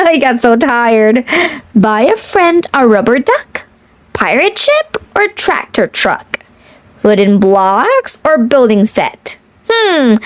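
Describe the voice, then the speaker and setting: High, animated woman reading slowly to children. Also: dry recording. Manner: playful, sing-song rhyming delivery; chuckles often between lines. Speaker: children's read-aloud host. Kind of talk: expressive picture-book read-aloud with chatty asides.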